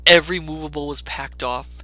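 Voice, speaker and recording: A man narrating a classic storybook in a theatrical style, with deliberate, dramatic phrasing. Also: a little high, steady tone, pushed and bright, faint music behind, low-quality recording.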